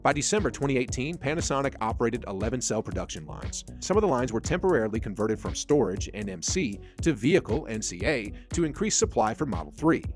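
A man reading a scripted narration, in a flat, even delivery of a dense factual text. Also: medium pitch, fast, few pauses. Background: faint background music.

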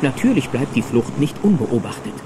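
A man's voice: medium pitch, very animated, normal speed, lightly acted. A man narrating a children's adventure radio play in a dramatic, playful manner. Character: lively storyteller of a children's adventure. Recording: music and engine sounds behind.

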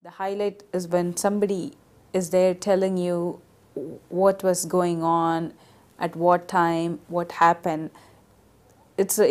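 Hesitant woman with a lowish voice. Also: darkish tone. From United States. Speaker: tour participant. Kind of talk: testimonial interview.

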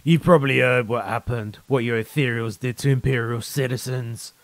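A captured soldier full of bitter zeal and distrust, played by a male narrator. He speaks accusingly and haltingly in a mid-pitched voice, as a story character recorded dry. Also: no echo, lightly acted. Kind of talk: short story character reading.

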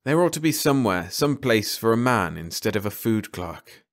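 A man acts out an independent, stubborn outlaw from an audiobook. He speaks plainly and tersely in a fairly low male voice. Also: very animated, normal speed. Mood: weary, unhappy. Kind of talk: audiobook character dialogue.

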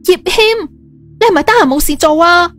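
A proud young woman executive, acted by a woman in an audio novel, speaking Hong Kong Cantonese. Her voice is high, with faint music underneath. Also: normal speed, fairly bright tone. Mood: furious. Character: stern, easily flustered young female company boss.

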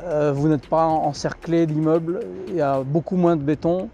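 A man in his thirties, talking through a face mask, calmly explaining his answers in an outdoor street interview. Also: on the high side, moderate pace, slight background noise. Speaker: resident interviewed. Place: outdoor town street by a shop. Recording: slightly dull outdoor recording. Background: faint street ambience.

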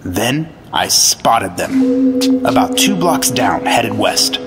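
A man's voice: mid-range voice, big pitch swings, takes it slowly, loud music behind the voice. An actor playing an earnest 1950s FBI agent, narrating his case in the first person in a noir-style radio drama. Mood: tense, earnest.

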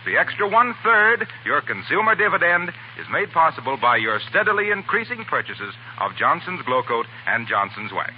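An actor playing a genial, polished American announcer and sponsor pitchman on 1940s radio. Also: sing-song, moderate pace, few pauses. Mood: polished, persuasive. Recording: slightly noisy, dull 1940s radio broadcast.

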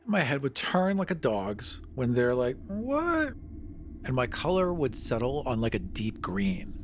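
A man's voice: medium pitch, very animated, moderate pace. Man playing a tabletop game, noisy background. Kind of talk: describing a character's actions in a tabletop game.

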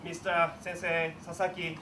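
A man announcing and interpreting at a judo clinic, speaking halting English with many fillers, recorded by a far camera in a large indoor dojo. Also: on the high side, completely flat delivery. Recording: distant voice in noisy room.

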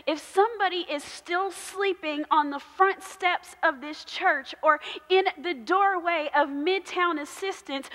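A woman in her thirties, a pastor preaching a sermon in a large church sanctuary with slow, emphatic repetition. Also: very high voice, fairly bright tone. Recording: long church hall reverb.

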